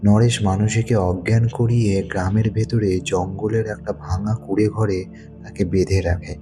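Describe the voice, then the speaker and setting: Low-voiced man narrating a thriller. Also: steady tone, music in the background. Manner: measured, suspenseful phrasing. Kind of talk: dramatic thriller story narration.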